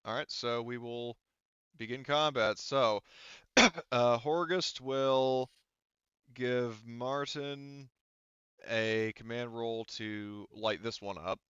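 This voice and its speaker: A man's voice: mid-range voice, fairly even intonation, takes it slowly, a bit bright, clear, resonant tone, dry recording, highs cut off. A man casually narrating and talking players through a tabletop game as game master.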